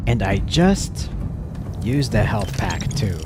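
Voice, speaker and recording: A man hosting a relaxed ASMR gaming livestream, soft-spoken, reacting in slow drawn-out words. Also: fairly low pitch, very noisy. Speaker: streamer. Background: game music.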